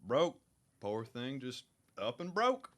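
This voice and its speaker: A man playing a gruff, laconic, folksy working guy in a radio comedy, drawling his terse replies. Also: mid-range voice, big pitch swings, slow pace, a bit bright. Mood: laconic, deadpan. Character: gruff, slow, laconic male loiterer and store worker. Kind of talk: student radio comedy acting.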